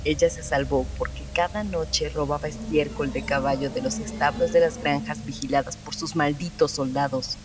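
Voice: mid-range voice, steady tone, moderate pace, hardly any pauses, close to an everyday voice, rough recording. An actor playing a bitter, relentless officer interrogating suspects in a Spanish audio drama. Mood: grim, bitter.

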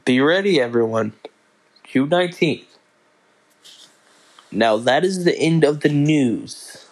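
Young guy doing his own podcast, talks real slow, keeps tripping over his words and starting over. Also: a little high, lively intonation, rough recording. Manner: halting, restarts and fillers, slow unhurried delivery. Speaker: podcast host. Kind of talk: casual solo podcast announcements.

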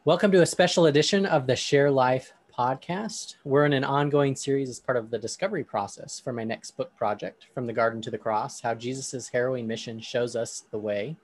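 A man hosting a podcast discussion, talking in a relaxed way with frequent ums, then reading a Bible passage aloud. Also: medium pitch, brisk, hardly any pauses, dry recording. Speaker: podcast host.

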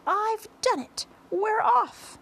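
A boisterous centipede from a children's storybook, performed by a woman, pitched high and swooping freely. He is exuberant and boastful, rattling out singsong verse with gleeful zest, over faint background noise. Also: big pitch swings, measured pace, heavily acted. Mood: triumphant.

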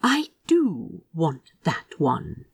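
A woman voicing a gentle, stubborn male angel in a story, prim, polite and soft-spoken. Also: deep voice, wildly swooping pitch, extremely slow, gravelly, slightly rough, no echo, studio quality, full character voice. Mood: hesitant, firm.

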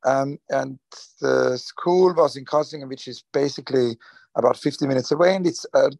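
A man's voice: medium pitch, moderate pace, gravelly, a little mellow, no echo. A man from Switzerland, answering interview questions hesitantly with many fillers and restarts. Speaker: guest. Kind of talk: conversational interview.

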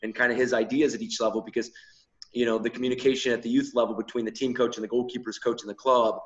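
A man's voice: mid-range voice, flat delivery, quick pace. A man co-hosting a podcast, talking casually in long, winding sentences with frequent restarts. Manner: discursive, restarts mid-sentence. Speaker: podcast co-host, coach. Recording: muffled lo-fi audio.

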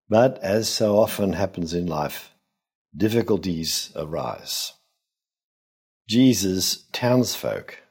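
An older man, a priest, giving a Gospel homily in measured, deliberate phrases with pauses. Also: low-pitched, darkish tone, slightly rough. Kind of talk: homily, expository reflection.

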